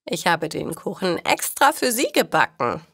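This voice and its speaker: A woman's voice: fairly low pitch, sing-song, moderate pace, creaky, a bit bright, no echo, studio quality. A woman reading a scripted story for language learners, including its dialogue.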